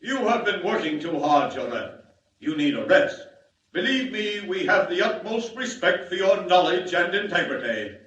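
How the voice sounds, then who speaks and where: A pompous council leader of a distant planet in an old radio serial. He speaks in a high, oratorical voice, dismissive and placating, in a dull, echoing vintage recording. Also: sing-song, moderate pace, a bit bright. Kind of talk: 1940s radio drama acting. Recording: dull, echoey 1940 radio broadcast.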